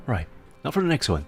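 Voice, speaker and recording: A young adult man hosting a casual stream where he gives advice, rambling and giggly, with frequent laughs and restarts. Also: medium pitch. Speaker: host giving advice on submitted struggles. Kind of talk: casual livestream advice chat.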